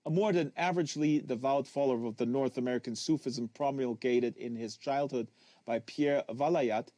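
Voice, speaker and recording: A man narrates literary fiction in a mid-pitched voice. He leans deliberately on phrases as he reads live, with the odd stumble. The sound is dry, with very little room on it. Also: mellow, dry recording. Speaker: audiobook reader. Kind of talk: live literary audiobook reading.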